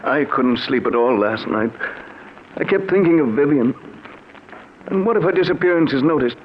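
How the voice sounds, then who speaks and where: A man's voice: mid-range voice, lively intonation, normal speed, lightly acted. Man playing a troubled husband, muffled old radio. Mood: anxious, brooding. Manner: polite, uneasy, hesitant. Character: troubled, guilt-ridden gullible husband being blackmailed. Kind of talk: 1940s radio drama acting. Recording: muffled, noisy vintage radio recording.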